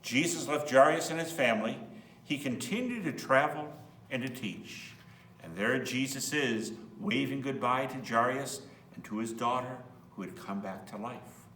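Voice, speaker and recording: Male priest for children's story reading; expressive, bright delivery, echoey room, mic set back with faint hum. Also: medium pitch, moderate pace, distant mic. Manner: expressive, explains in simple words as to children. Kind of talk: storybook read-aloud with asides to children.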